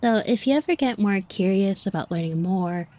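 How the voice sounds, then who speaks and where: A woman's voice: mid-range voice, lively intonation, moderate pace, warm tone, close to an everyday voice. A woman playing a shy, flustered florist who talks to the listener in a hesitant, colloquial, self-deprecating way in an audio roleplay. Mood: nervous, rambling. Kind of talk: scripted roleplay acting addressed to the listener. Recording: dull muffled audio with clear noise. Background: shop ambience sound effects.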